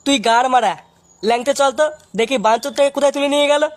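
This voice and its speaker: A woman's voice: high voice, steady tone, rapid-fire, lightly acted. A woman voicing a cheeky, bossy, foul-mouthed village youth in a comic cartoon dub, grumbling and swearing. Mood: shocked, scolding.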